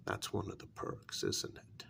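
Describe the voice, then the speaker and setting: A man playing a sly, smug kidnapper taunting his captive in an ASMR role-play, speaking softly and breathily, often half-whispering, in a halting, wryly sarcastic way. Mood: probing, grudgingly impressed. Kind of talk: ASMR role-play, soft-spoken monologue.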